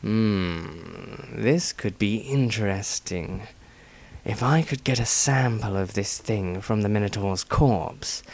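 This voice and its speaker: Cool scheming male fighter, acted by a man, smug, measured, brooding, low-key, fantasy storybook. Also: no echo.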